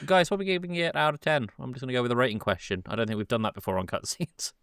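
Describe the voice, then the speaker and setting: A man's voice: medium pitch, very animated, very fast, a bit bright, clear, resonant tone, no echo, studio quality. A man co-hosting a film podcast, informally steering the chat and laying out facts.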